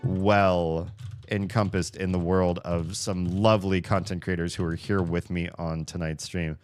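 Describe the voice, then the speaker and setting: A man's voice: sits low, steady tone, unhurried, smooth. A man from the Southern United States with a Southern accent, chatting casually on a livestream while gaming, rambling and wry. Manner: rambling, self-interrupting, wry. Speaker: streamer. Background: background music.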